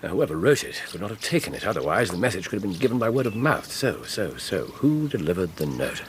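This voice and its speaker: Victorian english detective, low swooping voice, precise n wry, very theatrical radio play. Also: male voice, big pitch swings, quick pace, slight background noise. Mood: brisk, analytical. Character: keen, courteous, convalescent Victorian gentleman detective. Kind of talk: radio drama acting.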